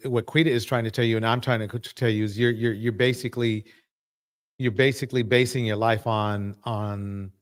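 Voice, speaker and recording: A man hosting a live panel, moving the talk along and haltingly reading a film introduction. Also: mid-range voice. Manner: halting, many fillers when reading. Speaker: host.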